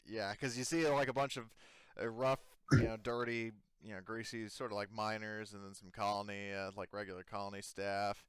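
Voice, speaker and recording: A man working as game master, improvising tabletop narration in a halting, casual, meandering way. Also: fairly low pitch, flat delivery, clean tone, no echo.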